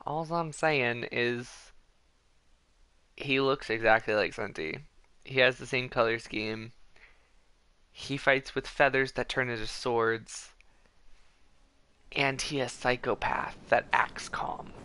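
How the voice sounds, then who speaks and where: A man's voice: medium pitch, slow, pauses often, pushed and bright, clean tone, no room sound. A man streaming a game with casual, informal, rambling commentary for his viewers. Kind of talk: casual livestream commentary over gameplay.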